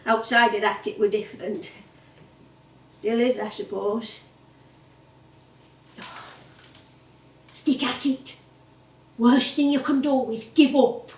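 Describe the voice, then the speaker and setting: An actress playing an older music hall performer on stage, chatty and hesitant, eager and a little wistful. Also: female voice, on the high side, fairly even intonation, a little slow, pauses often. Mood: subdued, guarded. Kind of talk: theatre acting with music hall singing. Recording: roomy theatre stage, distant microphone.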